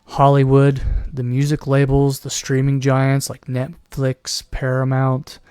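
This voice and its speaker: A man talking alone on his podcast with a hoarse, worn voice, sometimes clearing his throat. He rambles and restarts his sentences, now and then slipping into a mocking put-on voice. The sound is dry and close, with no room around it. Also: mid-range voice, monotone, measured pace, warm tone, dry recording. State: losing his voice. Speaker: podcast host. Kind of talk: solo commentary podcast.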